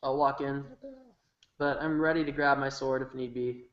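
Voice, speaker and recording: A man's voice: medium pitch, fairly even intonation, normal speed. A man chatting casually as a player in a tabletop roleplaying game. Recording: echoey, dull room recording.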